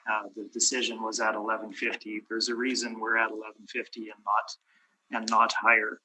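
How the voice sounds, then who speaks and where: A man with a hoarse, fading voice walks through figures at a municipal hearing. His tone is very bright and cutting, and he clears his throat often. Behind him is a faint hiss. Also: fairly low pitch, completely flat delivery, moderate pace, poor audio. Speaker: appellant's representative at a property tax hearing. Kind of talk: formal presentation with many fillers. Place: municipal committee room.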